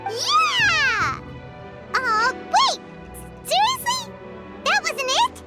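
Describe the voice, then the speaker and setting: A woman voicing a tiny, excitable floating fairy companion who talks about herself in the third person, in a video game. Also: extremely high pitch, drawn-out words, exaggerated voice. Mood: disappointed. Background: game music.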